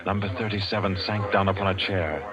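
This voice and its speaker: A man narrating a vintage radio drama in a measured, literary storytelling manner. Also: low voice, fairly even intonation, crowd noise. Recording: dull vintage radio, music behind. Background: loud music.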